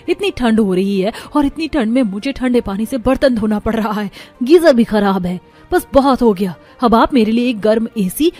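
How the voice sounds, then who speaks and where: A woman's voice: mid-range voice, dark tone, close to an everyday voice. A woman playing a spoiled, quarrelsome rich housewife in a cartoon story, with a complaining, demanding manner. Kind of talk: cartoon moral-story dialogue.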